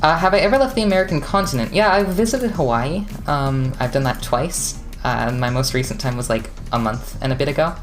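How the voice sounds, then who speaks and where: Very low-voiced West Coast Canadian woman. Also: brisk, few pauses, faint music behind. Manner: chatty, rambling, laughs often, many fillers. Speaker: streamer. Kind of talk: casual live-stream chat with viewers.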